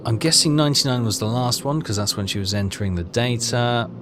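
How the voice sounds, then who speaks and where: A man's voice: mid-range voice, fairly quick, background noise. A man giving a casual game walkthrough, muttering and questioning himself as he thinks aloud. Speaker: game streamer. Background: game music.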